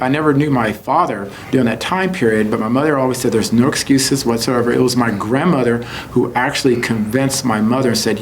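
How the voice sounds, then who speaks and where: A man from Texas telling his own story as an interview guest, halting and repeating phrases for emphasis. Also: mid-range voice. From Houston, Texas, United States. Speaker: interview guest, entrepreneur and author. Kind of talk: conversational personal storytelling in an interview. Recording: clearly noisy background ambience.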